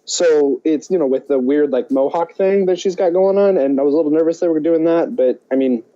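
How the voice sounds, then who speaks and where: A man with a mellow, slightly high voice talks as a laid-back podcast co-host. His chat is casual and slangy, and the recording sounds a bit dull and muffled. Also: fairly quick.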